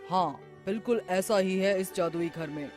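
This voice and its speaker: A woman voicing a calm male sage in a children's story, measured and instructive. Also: lowish voice, over music, natural voice. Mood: calm, cautioning.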